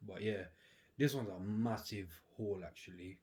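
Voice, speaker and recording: Sniffly laid-back man, low voice. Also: measured pace, darkish tone. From United Kingdom. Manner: laid-back, chatty, slangy. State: sniffly with a cold. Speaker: vlogger. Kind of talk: casual unboxing chat to camera. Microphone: camera on a tripod. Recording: clear background noise.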